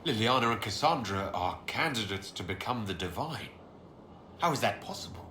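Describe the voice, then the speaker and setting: Low, forceful fantasy commander. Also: male voice, animated, normal speed, slight background noise. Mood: questioning. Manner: blunt, questioning, serious. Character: stern, weary commanding hero, blunt leader. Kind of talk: dramatic video game dialogue. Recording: roomy echo, music underneath.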